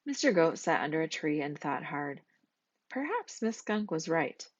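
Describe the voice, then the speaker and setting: A woman's voice: sits low, sing-song, moderate pace, dry recording, highs cut off. A woman reading a scripted children's story as its narrator. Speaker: storybook reader.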